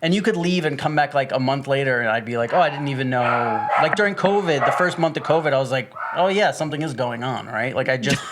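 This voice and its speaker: A man's voice: mid-range voice, fast talker, hardly any pauses. Candid, swearing man with noise behind him. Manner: swearing, animated self-deprecating storyteller. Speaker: podcast guest. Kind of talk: casual comedic chat, candid anecdotes.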